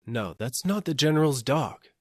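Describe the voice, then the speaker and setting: A dutiful, plodding policeman played by a male narrator reports to his superior in a storybook. He sounds earnest and deferential, in a mid-pitched voice with a dry, close recording. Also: lively intonation, normal speed, dry recording, natural voice. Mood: sure, dutiful. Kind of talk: audiobook character acting.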